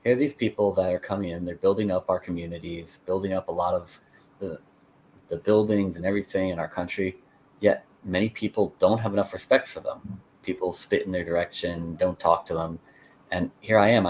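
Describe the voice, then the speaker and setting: Deep-voiced American man telling his story. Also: darkish tone, a little hiss or hum, poor audio. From United States (Connecticut). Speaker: interview guest, peace activist. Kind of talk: conversational storytelling.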